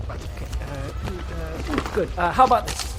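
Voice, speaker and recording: A man playing a fussy, flustered, helpful fellow who mutters haltingly in an audio drama. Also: high voice, sing-song, noisy background. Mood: flustered, eager.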